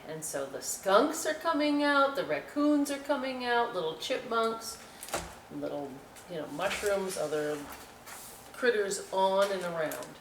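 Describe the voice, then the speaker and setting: A woman hosting a children's storytime, reading aloud and explaining crafts with folksy, chatty, expressive asides to young listeners. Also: lowish voice. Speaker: library storytime host. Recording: roomy echo, distant mic, faint noise.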